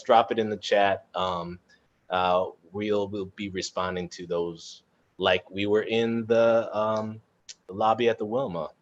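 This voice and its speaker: A man moderating a casual live panel chat from home, speaking informally and hesitantly over a video call. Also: lowish voice. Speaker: host and moderator of a cast chat. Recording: muffled video-call audio.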